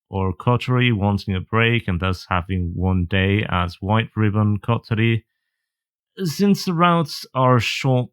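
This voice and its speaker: A man's voice: lowish voice, a bit bright. A man delivering semi-scripted review commentary in a blunt, somewhat hesitant way. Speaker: video reviewer of anime visual novels.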